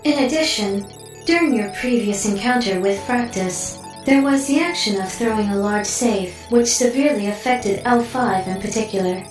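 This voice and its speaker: Roomy, high-pitched clinical female AI played by an actress. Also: moderate pace, recorded from a distance, over music, close to an everyday voice. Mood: clinical, detached. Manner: precise, formal, literal and detached. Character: coolly clinical female artificial intelligence delivering blunt data. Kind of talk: audio drama acting.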